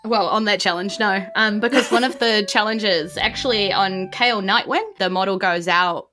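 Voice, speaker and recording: A woman's voice: mid-range voice, moderate pace, pushed and bright. A woman improvising narration as game master in a casual, chatty way, often hedging as she goes. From New Zealand. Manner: casual, hedging with frequent "like" and "you know".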